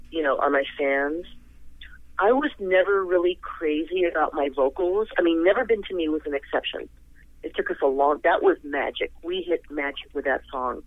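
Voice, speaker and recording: A woman's voice: sits low, no echo. Older woman on a tinny phone line. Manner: effusive, rambling, laughs often. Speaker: singer, interview guest. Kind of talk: casual interview over the phone. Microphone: telephone.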